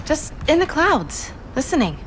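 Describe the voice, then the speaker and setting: A woman's voice: high-pitched, big pitch swings, unhurried, a little hiss or hum. A woman playing a young superpowered heroine in a video game, earnest, restless and defiant. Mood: restless, frustrated. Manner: earnest, frustrated. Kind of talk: video game cutscene acting.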